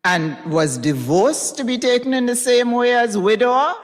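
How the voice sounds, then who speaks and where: A woman gives an expressive, deliberate dramatized reading of fiction in a recital hall. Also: a little high. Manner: slow, deliberate, expressive dialect storytelling. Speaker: reader at a literary event. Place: recital hall in front of an audience.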